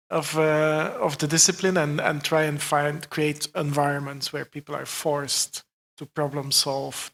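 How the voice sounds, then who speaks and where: Male panelist with a fairly high, gravelly voice and a thoughtful, additive way of talking. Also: fairly bright tone. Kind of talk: panel discussion.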